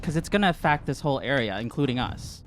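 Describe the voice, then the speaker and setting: A mid-pitched, animated voice of a tabletop game player. The talk is casual, working through rules and actions with the group. Music plays faintly behind. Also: fast talker.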